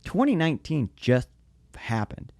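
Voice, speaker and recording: A man's voice: fairly high pitch, huge pitch swings, unhurried, darkish tone, no echo, studio quality. A man chatting casually on a podcast, agreeing and chipping in conversationally.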